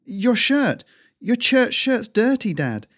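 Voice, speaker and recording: A nervous teenage boy, put on by a male narrator, pushed far up in pitch. He mutters and blurts his words out anxiously, sounding young and unsure. The recording is dry and close. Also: sing-song, normal speed, a little mellow, no room sound. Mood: timid. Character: timid, curious teenage boy.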